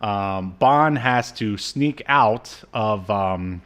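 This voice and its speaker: A man's voice: fairly low pitch, very slow, fairly bright tone. A man co-hosting a podcast, chatting casually and recapping a show in a sardonic, jokey way. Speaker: podcast co-host. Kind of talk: casual comedic chat recapping a cartoon.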